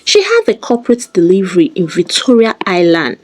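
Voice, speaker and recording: A woman narrating a dramatic scripted story in an expressive, deliberate storytelling manner. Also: medium pitch.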